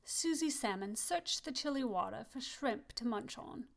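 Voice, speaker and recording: A woman reading a children's picture book aloud, expressive and slowing down on key phrases. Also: a little high, vocal fry. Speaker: storybook reader. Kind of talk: children's story read-aloud.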